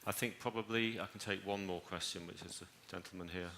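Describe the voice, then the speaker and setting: Man, panel chair, very deep voice, gravelly, bright edge, faint ambience, brisk. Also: fairly even intonation, a little hiss or hum. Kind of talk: moderating a conference Q&A.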